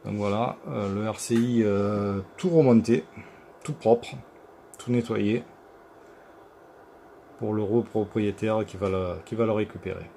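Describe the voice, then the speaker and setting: A man giving an informal equipment demonstration as a repair technician, speaking haltingly with many hesitation sounds. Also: lowish voice, gravelly, warm tone, a little hiss or hum. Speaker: radio repair technician presenting his work. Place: electronics workshop.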